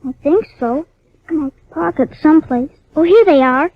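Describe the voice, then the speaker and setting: A woman's voice: sits high, moderate pace. A woman playing a small, innocent, eager little girl in an old-time radio drama. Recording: dry, muffled vintage radio recording.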